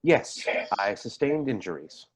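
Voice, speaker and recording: An actor playing a rattled, defensive man giving halting, emphatic testimony in a dramatic staged reading. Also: lowish voice, big pitch swings, husky, full character voice. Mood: uneasy. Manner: halting, emphatic, repeats himself. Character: rattled, defensive man testifying about an eerie deer encounter.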